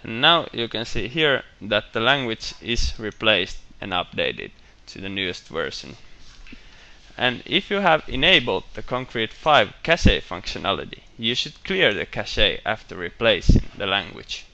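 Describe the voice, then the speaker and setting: A man walking through a software screencast, explaining each step in a steady, matter-of-fact way with bits of enthusiasm. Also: lowish voice, a little slow, gravelly. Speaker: software tutorial presenter. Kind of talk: screencast walkthrough narration.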